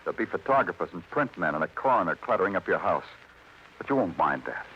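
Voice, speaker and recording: An American actor playing a weary, hard-boiled New York police detective in a terse, brooding, sardonic 1940s radio style. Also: male voice, sits low, very animated, quick pace, a little hiss or hum. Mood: terse, probing. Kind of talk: 1940s radio crime acting, dramatic narration. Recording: dull vintage radio recording, faint music.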